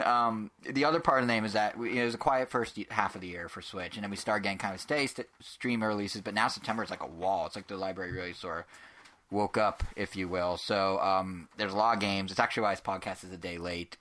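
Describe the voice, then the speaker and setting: Fast-talking nasal podcast host, a man. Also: fairly low pitch, fairly even intonation, pushed and bright. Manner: quick, rambling, joking. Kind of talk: casual podcast chat.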